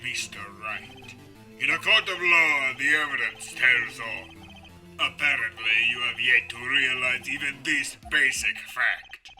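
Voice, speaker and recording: A man's voice: mid-range voice, a little slow, bright, forceful tone, faint music behind. A man voicing an imperious, impatient prosecutor with a pompous, formal delivery in an amateur game dub. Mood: commanding. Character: imperious, arrogant male prosecutor, theatrical and impatient. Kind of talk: amateur video game fandub acting.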